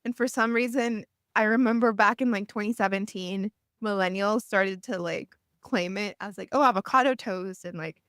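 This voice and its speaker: A woman's voice: mid-range voice, normal speed, hardly any pauses, fairly bright tone, dry recording, studio quality. A young woman from El Salvador hosting a podcast, upbeat and chatty, saying "like" often. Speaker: podcast host. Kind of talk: casual interview chat.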